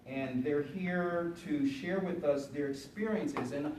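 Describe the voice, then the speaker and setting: A man's voice: fairly high pitch, slow pace, reverberant. Older guy moderating, um-ing and restarting, far off the mic in a noisy room. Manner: hesitant, filler-heavy, with restarts. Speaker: moderator, university teacher. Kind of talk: panel moderation. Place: panel room with audience.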